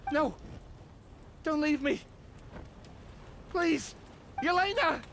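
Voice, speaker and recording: A man's voice: extremely high pitch, extremely slow, a bit bright, a little hiss or hum, exaggerated voice. An actor playing a grieving man in a game cutscene, pleading with anguish for his lost love. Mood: desperate.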